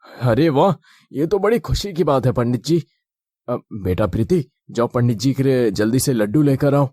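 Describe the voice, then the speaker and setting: A man voicing the men of a cartoon family tale, in an expressive, exclamatory way. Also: medium pitch, moderate pace, no echo, studio quality, natural voice. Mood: welcoming. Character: men of a family tale: father, groom, priest. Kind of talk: animated moral-story dialogue.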